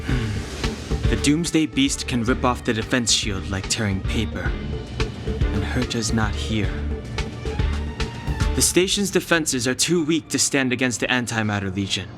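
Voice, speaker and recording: Game companion male actor: serious, guarded young man, measured pace, medium pitch, loud score underneath. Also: expressive, lightly acted. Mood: wary, serious. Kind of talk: video game cutscene voice acting. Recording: loud music bed underneath.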